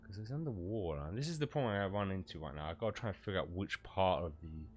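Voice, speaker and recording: A man's voice: low voice, expressive, fast talker, faint music behind. A man with a stuffy, cold-congested voice, giving rambling, casual commentary while streaming a video game and often swearing.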